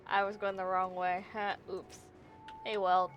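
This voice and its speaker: A woman's voice: mid-range voice, little pitch movement, measured pace, dull audio, faint music behind. A woman livestreaming a game with casual commentary, wry and given to exaggerated reactions. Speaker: live streamer playing a game.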